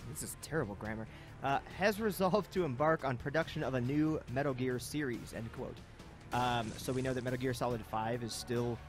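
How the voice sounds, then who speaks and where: Joking male podcast host, music behind. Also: medium pitch. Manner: jokey, self-mocking. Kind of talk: casual joking podcast banter.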